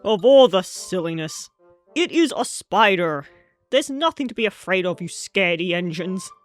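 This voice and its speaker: A woman's voice: fairly low pitch, animated, moderate pace, soft background music, natural voice. A woman voicing a pompous, blustering male steam engine. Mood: indignant. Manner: indignant, huffy, stammering denials.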